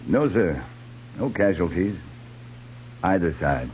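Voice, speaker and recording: A noisy, muffled 1950s radio recording carries a cavalry captain of the frontier. His voice is low and his delivery measured, with steady authority and dry humor. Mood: reporting, dry. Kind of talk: 1950s western radio drama acting.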